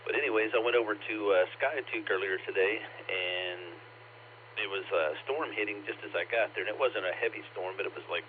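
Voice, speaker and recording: A man with a scratchy, bassy voice from a lingering cough, chatting on a ham radio net in a rambling, storytelling way. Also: a little fast. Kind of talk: casual on-air chat. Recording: lo-fi radio transmission, faint static.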